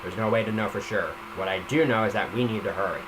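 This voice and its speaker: A man's voice: fairly low pitch, fast. A man giving a casual, amateur story reading, stumbling at times and slipping in informal comments of his own. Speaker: reader of a self-written story. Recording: noisy home microphone recording.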